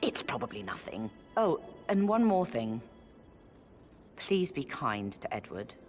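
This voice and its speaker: An actress playing a crisp, gossipy upper-class woman who sounds impatient, over game music. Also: a bass voice, very animated, a little slow, darkish tone, full character voice. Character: brisk upper-class British woman, sharp-tongued sister. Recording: game audio with music behind.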